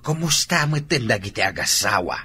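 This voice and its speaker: An actor playing a concerned, animated older father-in-law in a radio drama. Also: fairly low pitch, a little fast. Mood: friendly. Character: concerned, animated father-in-law talking over family trouble. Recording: background noise and ambience.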